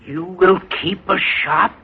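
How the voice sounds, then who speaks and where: A man's voice: high-pitched, steady tone, slightly rough, heavily acted. A man playing a gloomy male beggar who agrees mournfully with the others, in 1930s radio drama acting. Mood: glum. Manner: slow, mournful echoing of others. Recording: dull 1930s radio recording with crowd noise.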